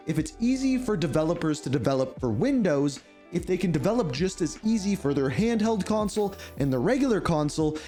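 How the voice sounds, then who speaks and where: A man hosting a casual gaming news show, chatty and opinionated, congested and hoarse from a cold. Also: a little high. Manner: chatty, opinionated, lively emphasis.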